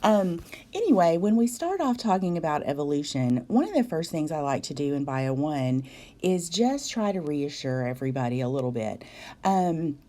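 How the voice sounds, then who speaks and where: A woman from the South, a biology instructor giving a recorded video lecture in a voice hoarse from a cold, measured and explanatory with frequent ums. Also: low voice, very animated, dark tone. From American South.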